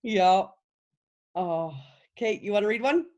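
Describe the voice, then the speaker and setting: A woman's voice: mid-range voice, expressive, slow, a little mellow, lo-fi sound. A woman co-hosting a casual chat, laughing easily and reading out viewer comments. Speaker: co-host. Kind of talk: casual chat on a live show.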